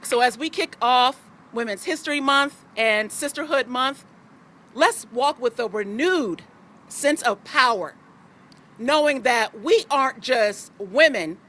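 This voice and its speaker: A woman's voice: a little high, a little hiss or hum. A woman giving a rallying ceremonial speech to a sisterhood gathering. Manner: warm-rallying, then joking and loose. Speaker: speaker at civic ceremony.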